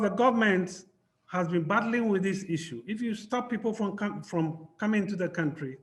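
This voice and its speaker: Ghanaian man, higher-pitched panel speaker. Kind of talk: academic panel talk. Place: home in Ghana.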